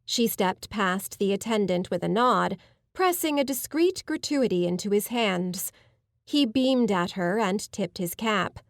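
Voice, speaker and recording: A woman reading a novel as an audiobook narrator, with a measured, polished literary delivery. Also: mid-range voice, expressive, no echo, clean studio sound. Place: studio, dry and close.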